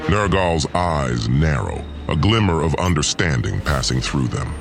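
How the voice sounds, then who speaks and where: A man narrates an audio drama in the first person with a low voice. His reading is theatrical and brooding, with lively rises and falls, over a music bed. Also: measured pace.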